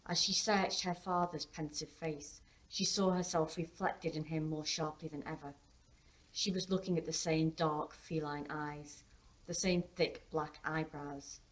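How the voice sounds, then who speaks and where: Low-pitched woman narrating a novel. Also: fairly even intonation, measured pace, low-quality recording. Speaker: author reading own work. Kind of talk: expressive story narration.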